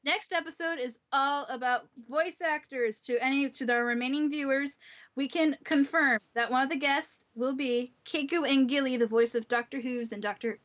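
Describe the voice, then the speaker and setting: A woman's voice: sits high, moderate pace, a bit bright. A woman hosting a casual group podcast in an informal way. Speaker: podcast host.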